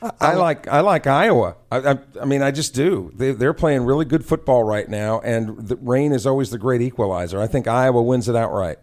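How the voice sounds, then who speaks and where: A man's voice: mid-range voice, expressive, a little fast, hardly any pauses, a little mellow. A man on a sports radio panel chatting casually, giving confident, emphatic opinions.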